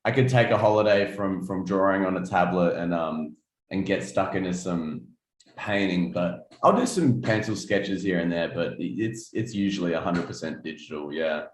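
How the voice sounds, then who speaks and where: Low-voiced aussie guy in his 20s, laid-back, lots of ums, sounds like an echoey room. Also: normal speed, slight background noise. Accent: Australian. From South Australia. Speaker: guest artist. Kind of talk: casual interview chat.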